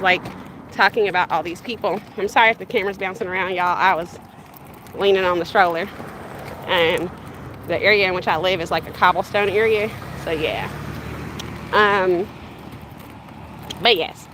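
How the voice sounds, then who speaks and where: A woman's voice: mid-range voice, a bit bright, poor audio. A woman in her thirties, from the Bahamas, chatting casually and rambling to her livestream viewers while walking outdoors with a stroller, recorded on a handheld phone. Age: 30s. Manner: rambling, conversational, addresses viewers directly. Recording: street noise outdoors.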